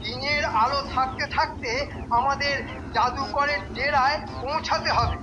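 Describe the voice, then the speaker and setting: A high-voiced, sly cartoon minister. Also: male voice, steady tone, normal speed, highs cut off. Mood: sly, conspiratorial. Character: sly, envious male royal minister, scheming flatterer. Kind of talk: cartoon voice acting. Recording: loud music behind the voice.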